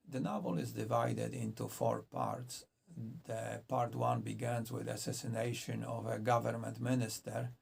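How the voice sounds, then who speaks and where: Gravelly man reviewing books. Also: mid-range voice, a little mellow. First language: Polish. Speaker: book reviewer. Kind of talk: scripted book review.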